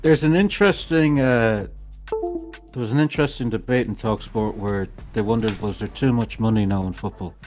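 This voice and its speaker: A man with a fairly low voice chats casually about soccer, agreeing often and pausing to find his words. Music is clearly audible behind him. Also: darkish tone. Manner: agreeable, halting, hesitant. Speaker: co-host of a soccer watch-along stream. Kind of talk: casual sports chat.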